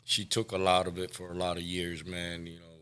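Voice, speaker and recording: A man in his forties from Cuba, a guest on a recovery podcast, telling his life story candidly and often trailing off softly. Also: low-pitched, monotone, fairly quick. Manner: candid, soft-spoken, trails off quietly.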